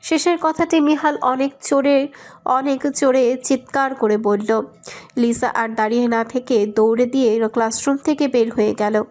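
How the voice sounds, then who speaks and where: A woman's voice: fairly high pitch, fairly even intonation, normal speed, darkish tone. A woman narrating a scripted romantic story.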